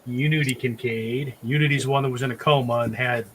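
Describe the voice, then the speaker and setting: A man with a bright, forward voice at a middle pitch, chatting casually as a host. Some background noise sits faintly behind him. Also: steady tone, fairly quick, low-quality recording. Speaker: host of a drink and draw show. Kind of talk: casual group chat.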